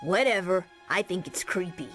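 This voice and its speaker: A woman voicing a cocky, sarcastic ten-year-old boy hero in an animated video game. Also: fairly low pitch, normal speed, faint music behind, close to an everyday voice. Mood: sarcastic, grumbling. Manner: sarcastic, bratty.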